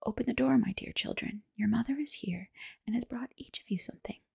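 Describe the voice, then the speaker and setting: Woman whispering as a sly, breathy storybook wolf. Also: a little high, fairly quick, darkish tone, no room sound, full character voice. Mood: coaxing. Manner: wheedling, calling out. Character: sly, coaxing male wolf posing as mother.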